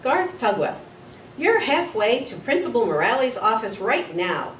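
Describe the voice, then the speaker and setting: A woman's voice: on the high side, very animated, measured pace. A woman plays a stern female schoolteacher from a children's storybook. She scolds firmly and with little patience, a bit distant in a roomy space. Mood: angry. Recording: roomy, distant mic with faint noise.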